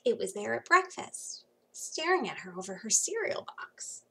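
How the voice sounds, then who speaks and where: Animated, high-pitched woman reading a storybook. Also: vocal fry. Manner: dramatic pauses and hushed emphasis for young listeners. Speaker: children's book reader. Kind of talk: expressive read-aloud of a children's storybook. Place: small home room, indoors. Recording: faint background noise.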